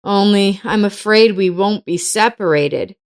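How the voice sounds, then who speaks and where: A woman's voice: medium pitch, a little slow, clear, resonant tone, lightly acted. An earnest, orphaned young boy in an audio story, performed by a woman narrator. He is polite and sincere in the way he talks. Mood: worried.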